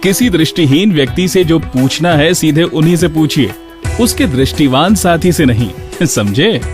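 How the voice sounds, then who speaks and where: A man hosting a radio programme, reading scripted narration and instructing listeners in a deliberate way. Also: a little high, expressive. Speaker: radio show host. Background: music bed.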